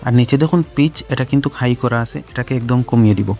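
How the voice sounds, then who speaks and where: Fast-talking man giving instructions. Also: medium pitch, a little mellow. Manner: instructional, step-by-step, repeats instructions. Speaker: tech tutorial host. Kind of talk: scripted-sounding how-to narration addressed to viewers. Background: background music.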